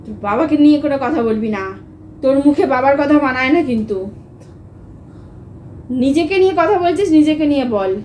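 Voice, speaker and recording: A woman's voice: high voice, expressive, fairly quick, pauses often, darkish tone. A young woman in a heated domestic argument, scolding in an agitated, repetitive way. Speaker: wife in a family prank video. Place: indoor room at home. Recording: echoey room, faint background noise.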